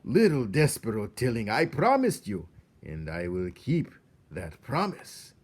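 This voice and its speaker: Man putting on a gloating, courtly rat villain with swooping pitch. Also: very animated, slightly rough. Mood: smooth, coaxing. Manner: flowery, mock-polite, drawn-out.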